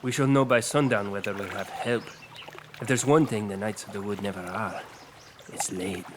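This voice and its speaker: Guy doing a deferential knight leader, lowish voice, fantasy drama, noisy trickling background. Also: moderate pace, natural voice. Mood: respectful. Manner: formal, deferential, composed. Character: courteous, cautious knightly leader of a questing party. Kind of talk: fantasy audio drama acting.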